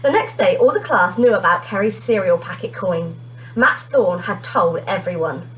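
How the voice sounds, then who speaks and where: A woman teacher reading a children's storybook aloud in an expressive narrating style. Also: lowish voice, normal speed, low-quality recording.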